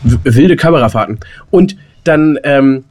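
A man's voice: mid-range voice, expressive, unhurried, a little mellow. Man, mid-range voice, casual podcast anecdotes, rambling colloquial delivery. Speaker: podcast co-host. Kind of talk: casual chat, anecdote telling.

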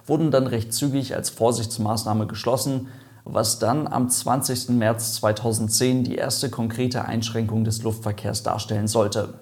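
A man's voice: medium pitch, a little mellow. A man hosting an online aviation video, explaining events in a chatty, lightly joking way. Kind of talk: casual explainer narration.

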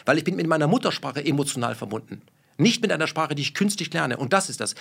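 Brief: man from Germany, rapid, emphatic, swears, fires rhetorical questions in conversation. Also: a little high, lively intonation, gravelly, a bit bright, breathy edge. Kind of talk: heated podcast conversation.

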